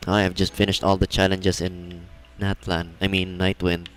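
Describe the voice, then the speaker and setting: A man's voice: low voice, completely flat delivery, moderate pace, fairly bright tone. A man doing casual live game commentary as a streamer, musing and hesitant as he thinks aloud. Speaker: livestreamer, gamer. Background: game music.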